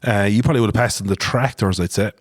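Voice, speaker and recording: A man's voice: on the low side, very fast, no echo, studio quality. A man in his thirties with a Munster Irish accent, a guest telling a laughing, rambling story and swearing freely. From Ireland, where Cork, Limerick and Tipperary meet. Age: 30s. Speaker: guest, farmer. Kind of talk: casual chat, storytelling.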